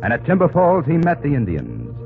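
A male narrator delivers solemn history in grand old radio fashion. His voice rises and falls dramatically over a loud score, on a dull vintage recording. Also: fairly high pitch, huge pitch swings, a little fast, gravelly. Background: loud dramatic music score.

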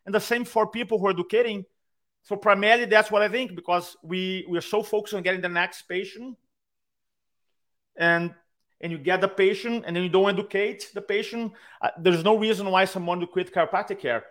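A man's voice: high voice, fairly quick. A man with a Brazilian accent, a speaking coach telling stories in a podcast interview over a video call. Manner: animated storyteller, acts out quotes. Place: his own room on a video call.

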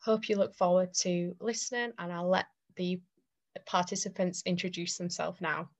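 Young woman hosting a podcast, measured. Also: lowish voice, fairly even intonation. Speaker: podcast host. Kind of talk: scripted podcast introduction and interviewing.

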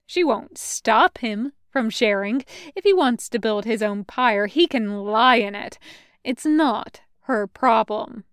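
A woman reading fan fiction aloud as an expressive storyteller. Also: fairly high pitch, slow, clear, resonant tone, no room sound, studio quality. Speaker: fan fiction podcast reader.

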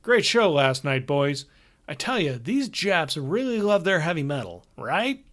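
A man's voice: on the high side, very animated, a little slow, fairly bright tone. A man voicing a pushy, upbeat older road manager who is brash and dismissive. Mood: jovial.

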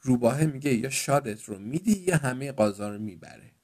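A man's voice: mid-range voice, animated, a little mellow, lightly acted. Man playing a coaxing farmer father. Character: farmer father, cajoling his young daughter with tall tales. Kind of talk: children's storytelling.

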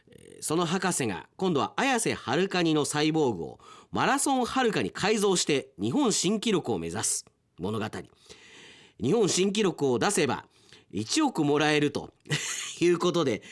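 A middle-aged man presenting on radio, chatting casually and reading listeners' submissions aloud, often chuckling to himself. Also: fairly high pitch.

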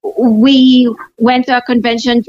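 A woman's voice: a little high, level tone, takes it slowly, muffled recording. A woman from the Philippines with a Filipino accent, giving short shy answers as a podcast guest. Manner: brief, shy answers with soft laughs. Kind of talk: casual interview chat.

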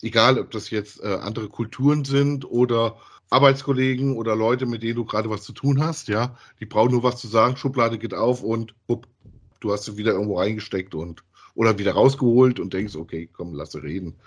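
A man's voice: on the low side, fairly quick. A man co-hosting a podcast in casual discussion, giving his opinions in an emphatic, colloquial way and often seeking agreement. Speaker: podcast co-host reviewing audio dramas.

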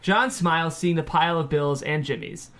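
Higher-voiced man narrating a screenplay. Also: normal speed, background noise. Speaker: stage-direction narrator. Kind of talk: scripted narration of a screenplay table read.

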